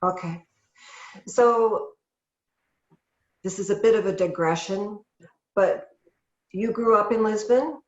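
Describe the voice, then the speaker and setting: A woman's voice: mid-range voice, takes it slowly, long pauses. A woman hosting a podcast asks curious, conversational questions in a mid-range voice. The sound is a bit dull and carries a roomy echo. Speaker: podcast host. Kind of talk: casual interview questions.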